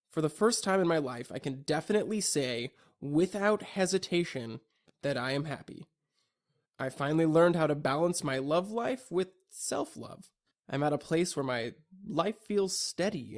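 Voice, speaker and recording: Higher-voiced young man, reflective monologue. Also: a little mellow. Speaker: audio log narrator. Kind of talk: scripted reflective personal monologue.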